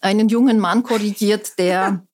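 A middle-aged woman, a guest chatting casually in a podcast, self-deprecating and quick to laugh. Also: mid-range voice, fairly even intonation, a little mellow. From Austria. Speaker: guest, former member of parliament.

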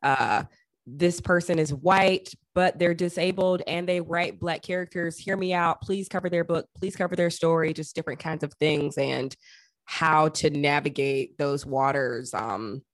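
A woman co-hosting an interview podcast in casual chat, playful and animated in how she tells things. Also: sits low, measured pace, no echo.

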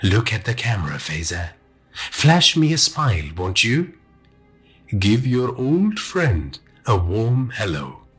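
A man voicing a sadistic male villain in an audiobook, with a silky, husky, slow and taunting menace. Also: on the low side, very animated, fairly bright tone, faint music behind, heavily acted. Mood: mocking. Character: smooth-talking sadistic male villain taunting over a radio.

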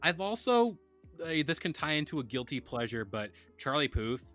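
A man's voice: on the high side, very animated, normal speed, fairly bright tone, faint music behind. A man hosting a casual podcast, chatting in a laid-back, rambling way and reading sponsor plugs. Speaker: podcast host.

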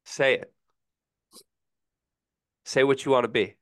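Man, sports podcast co-host, sick, deadpan, smooth resonant voice, dry close sound. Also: medium pitch, slow, no echo. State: sick, feeling rough. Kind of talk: casual sports podcast chat.

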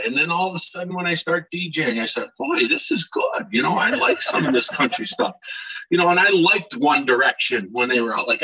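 Husky, bright-voiced man in his late fifties. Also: a little high, low-quality recording. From Scranton, Pennsylvania, United States. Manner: rambling, repeats words, enthusiastic. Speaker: podcast guest, DJ. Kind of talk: casual interview chat.

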